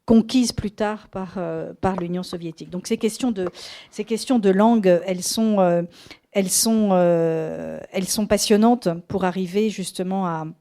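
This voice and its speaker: A woman's voice: medium pitch, moderate pace, dark tone. A woman interviewing an author in a bookshop, agreeing often and rephrasing her questions as she goes.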